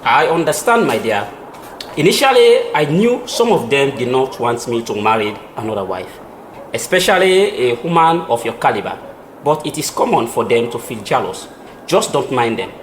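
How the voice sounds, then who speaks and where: A reassuring, devout chief, acted by a man, speaks with a Sierra Leonean accent and widely swinging pitch. It is a formal, solemn audio drama performance, recorded in an echoey room with faint noise. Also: sing-song, moderate pace, lightly acted. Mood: tender, reassuring. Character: polygamous male chief, reassuring, devout husband.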